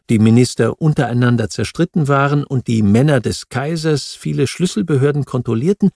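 A man reads a scripted nonfiction audiobook in a measured, factual manner. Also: medium pitch, creaky, a little mellow, no echo, clean studio sound. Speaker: audiobook narrator.

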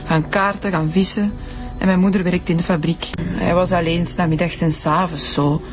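A woman's voice: on the low side, fairly even intonation, normal speed, over music, lightly acted. An actress playing a frank, hard-done-by young cleaning girl with a Flemish accent in a radio drama. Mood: sad, bitter. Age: young adult.

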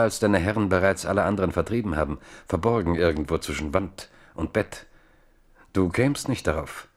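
A man with a deep voice narrates a radio drama. His delivery is scripted literary storytelling.

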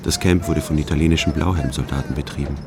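A man playing a secretive, world-weary army sergeant in a radio drama, measured and matter-of-fact. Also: a bass voice, steady tone, noisy background. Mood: dry, measured. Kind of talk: radio drama, dry first-person narration.

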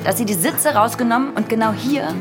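An actress playing a practical activist organiser in a radio drama, speaking in a matter-of-fact way. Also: female voice, on the high side, a little fast, lightly acted. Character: practical female activist organiser in an art group. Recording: music under the dialogue.

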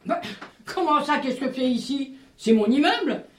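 A woman's voice: sits high, expressive, moderate pace. An actress playing a nosy, fussy older lady with theatrical, old-fashioned politeness in an audio drama. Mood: huffy. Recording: roomy echo, faint music.

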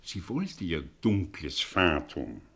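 Broken professor confessing, sweeping intonation. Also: male voice, low-pitched, very animated, vocal fry, a bit bright, breathy edge, exaggerated voice. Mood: confessional, intense. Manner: theatrical, halting, emphatic, literary phrasing. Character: learned male professor, broken, confessing with bitter fatalism. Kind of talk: German radio play acting.

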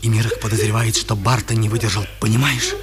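A man's voice: fairly low pitch, steady tone, quick pace, natural voice. An actor playing a secretive male resistance comrade passing urgent warnings in a 1950s radio drama.

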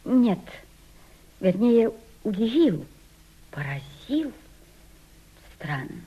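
An actress playing a guarded, worldly Russian émigré journalist, speaking expressively in a radio drama. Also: female voice, a little high, sing-song, extremely slow, warm tone, slightly rough, full character voice. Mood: impressed, pensive. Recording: dull, faintly noisy old radio-play recording.

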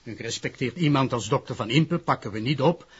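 A Flemish actor playing a stern, dismissive, impatient public prosecutor, emphatic and formal, in a crime drama. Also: male voice, medium pitch, brisk, breathy edge, lo-fi sound. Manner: emphatic, exasperated, authoritative. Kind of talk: broadcast crime drama acting.

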